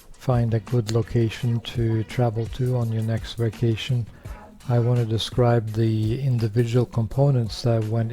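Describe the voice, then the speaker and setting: A man's voice: mid-range voice, dark tone. An older man from Norway talking casually to camera, rambling with many fillers and sharing opinions. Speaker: vlogger.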